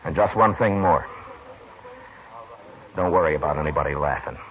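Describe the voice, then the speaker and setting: Deep-voiced stern frontier marshal, old radio. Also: male voice, normal speed. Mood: stern, steady. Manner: blunt, authoritative. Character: stern, level-headed frontier marshal, grown man. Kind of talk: 1950s radio western acting. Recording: scratchy, tinny 1950s radio recording.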